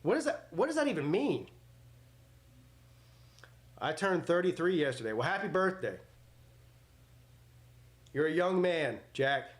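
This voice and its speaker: A man's voice: a little high, sing-song, unhurried, pauses often. A man in his forties hosting a live sports watch party, mixing casual chat with play-by-play in a blunt, joking, rambling way. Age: 40s.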